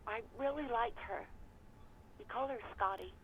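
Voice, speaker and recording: A woman's voice: fairly high pitch, normal speed, highs cut off, slight background noise, lightly acted. An actress voicing a young woman barkeep in a game, talking on the phone, reproachful and hesitant.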